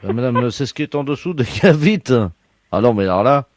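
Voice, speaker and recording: A man playing a matter-of-fact town road-works official in a deadpan prank call, colloquial, insistent and faintly exasperated. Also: medium pitch, brisk, a little hiss or hum, natural voice. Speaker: prank caller.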